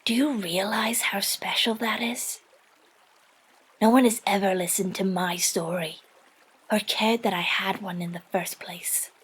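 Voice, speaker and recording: A woman playing an earnest, fiercely supportive woman in an audio drama, speaking with tender, emphatic reassurance in a husky, breathy voice. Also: mid-range voice, steady tone, a little slow, fairly bright tone, rough recording.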